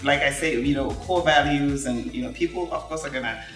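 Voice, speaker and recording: A woman's voice: extremely low pitch, a little fast, a bit bright. Easygoing woman host in an echoey room. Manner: easygoing, welcoming. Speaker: talk show host. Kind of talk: casual chat. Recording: echoey room, mic far off, music behind.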